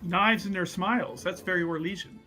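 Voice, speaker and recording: A man's voice: high voice, steady tone, a little fast, pushed and bright, soft background music. A man chatting casually as a tabletop game player, jokey and thinking aloud in stop-start phrases. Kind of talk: casual improvised game chat.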